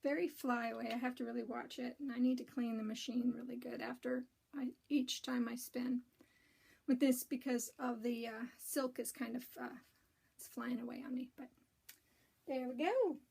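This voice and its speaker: A woman with a raspy voice hosting a casual knitting and spinning show-and-tell, rambling with many ums and restarts. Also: on the high side, steady tone, normal speed, darkish tone.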